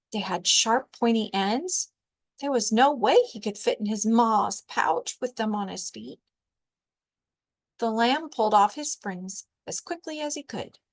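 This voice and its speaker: Slow, high-voiced woman reading a storybook. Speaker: librarian storyteller. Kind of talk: children's storybook read-aloud.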